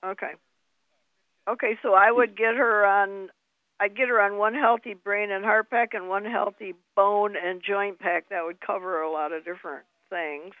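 A woman co-hosting a radio health show, giving steady, matter-of-fact advice. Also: mid-range voice, normal speed, smooth, no room sound. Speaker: co-host advisor. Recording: tinny phone line.

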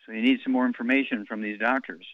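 A man's voice: mid-range voice, fairly even intonation, quick pace, no room sound, narrow, tinny audio. A man hosting a call-in health show on the radio, blunt and wisecracking, rattling off long lists of advice.

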